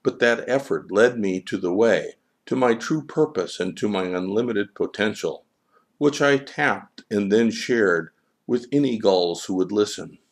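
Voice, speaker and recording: A man's voice: lowish voice, unhurried. A man voicing a proud, restless storybook seagull who boasts and protests defensively. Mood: defensive, earnest.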